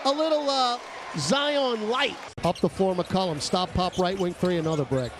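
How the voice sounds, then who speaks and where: High-pitched shouting man calling basketball live. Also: animated. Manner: shouting, clipped rapid calls, theatrical flourishes. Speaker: radio basketball play-by-play announcer. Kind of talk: excited live sports commentary. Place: courtside in a basketball arena. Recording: noisy live arena broadcast. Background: crowd and bouncing basketball.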